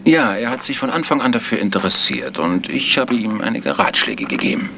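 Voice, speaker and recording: A proud, protective organ teacher in a radio mystery. He speaks earnestly and measuredly in a low voice, with faint noise on the recording. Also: big pitch swings, brisk. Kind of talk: German radio mystery acting.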